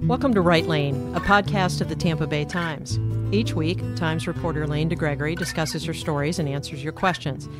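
A woman, a newspaper editor, hosting a podcast and guiding the conversation briskly. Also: low voice, loud music behind the voice.

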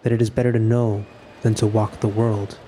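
A man acting a resigned, solitary narrator in scripted audio fiction, speaking in a measured, introspective way about his own final day. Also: fairly low pitch, little pitch movement, dark tone, slight background noise, close to an everyday voice. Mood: quiet resignation.

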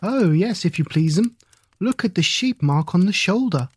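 A woman's voice: on the low side, expressive, moderate pace, a little mellow, husky. A woman voicing a stout, anxious female hedgehog washerwoman who talks in a deferential, old-fashioned polite way. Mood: fussy polite.

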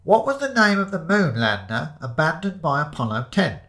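A man voicing a pub quizmaster in a story, announcing trivia questions in a measured, projected way. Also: fairly high pitch, sing-song, sharp tone, pitched above the performer's own voice, exaggerated voice. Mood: formal, unbothered.

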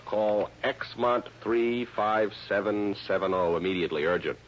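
A man's voice: lowish voice, steady tone, moderate pace, natural voice. An American man playing a chummy, grumbling married insurance man in a vintage radio drama, with a folksy, familiar way of talking. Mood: grumbling, suspicious. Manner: folksy, familiar, hesitant asides. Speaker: radio actor in a supporting part. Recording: muffled, noisy old radio broadcast.

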